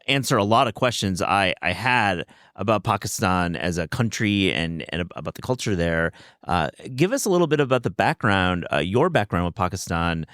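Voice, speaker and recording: Deep-voiced, effusive male podcast host. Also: a bit bright, clean tone, dry recording, clean studio sound. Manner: effusive, stumbling repetitions. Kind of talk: casual interview chat. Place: recording studio.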